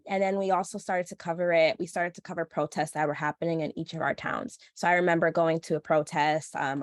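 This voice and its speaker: A woman's voice: on the low side, no echo, clean studio sound. A young woman in her twenties, speaking as a reporter on a panel, nasal from a cold, talking earnestly with many fillers. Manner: earnest, many ums and likes. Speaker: panelist, social news reporter. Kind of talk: panel discussion answer.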